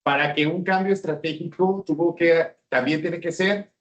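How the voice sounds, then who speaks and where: A man's voice: on the high side, moderate pace, lo-fi sound. Mexican man giving a seminar talk. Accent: Mexican Spanish. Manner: engaging, asks the audience questions. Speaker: speaker.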